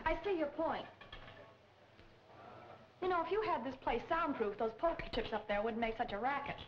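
A young actress playing a bold, sassy newspaper reporter, wry and challenging, in a 1940s crime film. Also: female voice, high voice, animated, moderate pace, noisy background. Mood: wry, sly. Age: young adult. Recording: dull 1940s film soundtrack.

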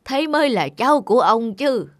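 A man's voice: very high-pitched, animated, moderate pace, no room sound, studio quality. Actor as kindly old village grandfather. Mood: kindly, approving. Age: older. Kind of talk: animated folk-tale dialogue. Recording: voice over background music.

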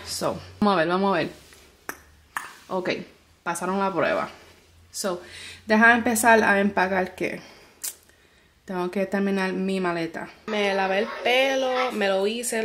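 Chatty Bronx-born woman. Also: mid-range voice. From New York, the Bronx. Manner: chatty, mixes English words into her Spanish. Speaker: family vlogger. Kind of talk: casual vlog chat to camera. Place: at home, packing a suitcase.

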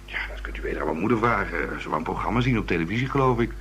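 A man's voice: sits low, expressive, very fast, fairly bright tone. An actor playing a hesitant, rambling young man who is a murder witness in a Dutch radio play. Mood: nervous, hesitant. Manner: hesitant, rambling, restarts words. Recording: noisy old radio recording with hum.

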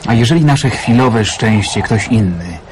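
An actor voices a withdrawn man hiding out on a lonely farm, with a fairly low voice. He answers in a dry, brief, guarded way in a radio play, over a faint background hiss. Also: lively intonation, unhurried, a little mellow, rough recording. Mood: reserved. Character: reserved man hiding out, a hired hand, withdrawn. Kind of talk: radio drama acting.

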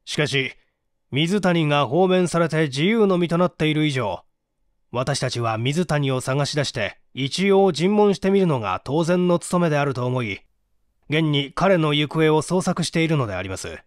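A man's voice: fairly high pitch, lively intonation, normal speed, no room sound, natural voice. A man performs a police detective from a mystery audiobook. He lays out his reasoning step by step in formal, polite speech, with very varied intonation. The sound is dry and close. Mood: earnest, emphatic. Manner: formal, orderly, explanatory. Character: methodical male police detective explaining his deductions formally. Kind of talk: dramatic audiobook reading.

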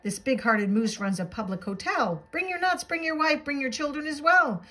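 A woman voicing a brash, pushy woodpecker in a children's storybook, calling out loudly. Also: high voice, lively intonation, normal speed, pitched above the performer's own voice. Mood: brash, inviting. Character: brash woodpecker, pushy guest inviting everyone in.

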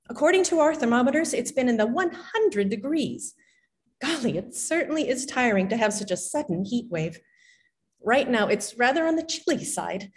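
A woman in middle age performs a reading of personal letters from a lectern. Her pitch is medium, and she tells it conversationally, with clear enunciation and dramatic expression. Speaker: actress reading historical letters. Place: indoor auditorium stage at a lectern. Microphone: gooseneck podium microphone.

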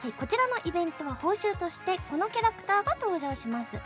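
A woman's voice: very high voice, very animated, quick pace. A woman hosting a radio show, switching between casual chat and reading out game announcements. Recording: music behind the voice. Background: background music.

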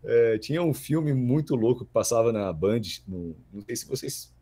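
A man's voice: medium pitch, animated, normal speed, mellow. A Brazilian man in his thirties chatting casually as a podcast guest, repeating words as he thinks aloud. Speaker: voice actor guest. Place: small plain-walled room indoors.

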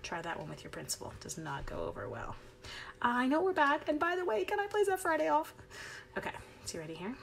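A woman's voice: sits high, huge pitch swings, brisk, vocal fry, a bit bright. A middle-aged woman from Canada, chatty and upbeat, leading a live card-making tutorial at her craft desk while she talks with viewers.

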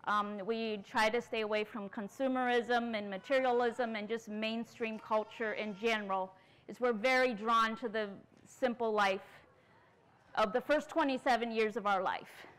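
Woman lecturer, forties, earnest storytelling, slightly high voice, large tent. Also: steady tone, normal speed, a bit bright, clear, resonant tone, poor audio. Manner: earnest storytelling, addresses the audience with questions. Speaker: conference speaker. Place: large tent at an outdoor conference.

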